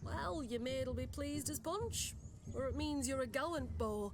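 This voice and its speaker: A woman's voice: high-pitched, a little slow. An actress playing a plainspoken, rustic village woman. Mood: folksy. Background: music.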